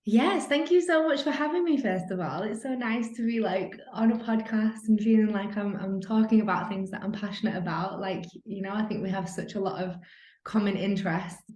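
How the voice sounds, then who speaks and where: A woman's voice: medium pitch, a little fast, a little mellow. A woman, telling her story as an interview guest in a chatty way, full of "like". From Manchester, England. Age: 30s. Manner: chatty, lots of "like", acts out her own thoughts. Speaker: guest, personal trainer and nutritionist. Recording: roomy echo.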